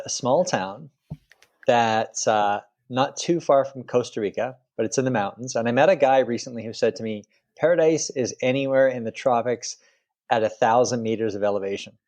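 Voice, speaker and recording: A middle-aged man from Canada speaking as a guest author, relaxed and rambling, often restarting his phrases. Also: medium pitch, moderate pace. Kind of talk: interview conversation.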